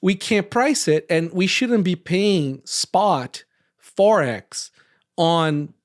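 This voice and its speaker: A man from Brazil hosting a casual roundtable podcast, rambling and often tagging his points with quick questions. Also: high voice, expressive. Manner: rambling, frequent tag questions. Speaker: podcast host.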